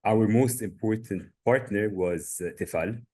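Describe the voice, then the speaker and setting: A man in his thirties from Egypt, a guest telling his story in a webinar in a measured way with frequent filler words. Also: lowish voice, warm tone. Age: 30s. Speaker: guest, family business owner. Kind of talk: webinar presentation.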